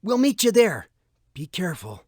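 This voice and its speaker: A woman's voice: lowish voice, big pitch swings, normal speed. A woman voicing a serious young adventurer in a cartoon computer game, earnest and dramatic. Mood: urgent.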